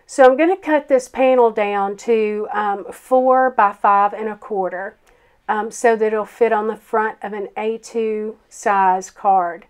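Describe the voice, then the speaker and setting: A woman's voice: medium pitch, steady tone, slow pace, smooth. A woman, a grandmother, chatting through a card-making tutorial with a froggy voice from a summer cold, full of ums and self-deprecating asides. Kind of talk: casual craft tutorial voice-over.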